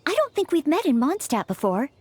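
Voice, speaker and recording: A woman voicing a polite, earnest young maid who trains to be a knight in a video game, gentle and slightly formal in manner. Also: sits high, huge pitch swings, brisk, a little hiss or hum. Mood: reassuring.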